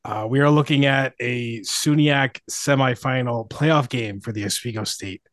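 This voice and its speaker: A man's voice: mid-range voice, moderate pace, dry recording, clean studio sound. A man hosting a sports podcast in a casual, conversational way. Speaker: podcast host.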